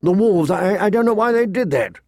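A man's voice: on the high side, a little mellow, dry recording, studio quality, pitched above the performer's own voice. A man voicing a proud but unsure young lord in an audiobook, stiff and guarded in manner. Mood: shaken. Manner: halting, guarded. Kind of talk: audiobook character acting.

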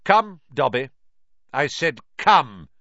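A man's voice: fairly high pitch, big pitch swings, brisk, a bit bright, no room sound, dull audio. Man acting a cold aristocratic villain, sneering, imperious, menacing, storybook bad guy. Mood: angry, imperious.

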